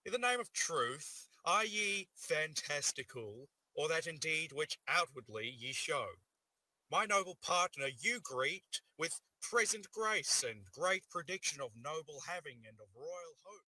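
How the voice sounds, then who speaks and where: An actor playing a wary, questioning nobleman in a Shakespearean radio play, with measured declamatory delivery. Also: on the high side, expressive, bright, forceful tone, dry recording, heavily acted, rough recording.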